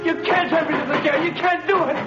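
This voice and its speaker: An actor playing a hysterical trapped criminal in a 1930s radio drama, shrill and frantic. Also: male voice, fairly even intonation, quick pace, over loud music. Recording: muffled 1930s radio broadcast.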